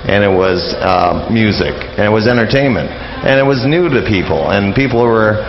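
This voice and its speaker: A man's voice: fairly low pitch, animated, moderate pace, gravelly. A man talking casually as an interview guest, hesitant and rambling. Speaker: interview guest, old-time radio enthusiast. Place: live radio lounge with people coming and going. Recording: muffled, echoey room with busy crowd.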